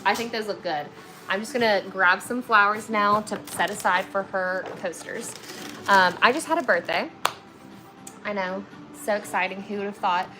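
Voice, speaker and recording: A woman's voice: medium pitch, moderate pace, over music, low-quality recording. A woman in her twenties, a flower preservation artist hosting a livestream, who chats casually with viewers while she works and fills her talk with "like" and "okay?". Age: 20s. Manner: chatty, filler-heavy, often checks in with "okay?". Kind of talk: casual livestream chat while working, reading viewer comments.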